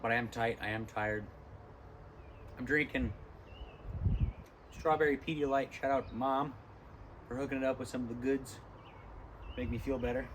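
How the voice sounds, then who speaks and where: A tired, hoarse young man talking casually between lifts in a gym, rambling with many ums. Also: mid-range voice, steady tone, normal speed, long pauses, slight background noise. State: hoarse, lost voice from illness. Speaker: fitness vlogger. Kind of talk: casual gym vlog talk.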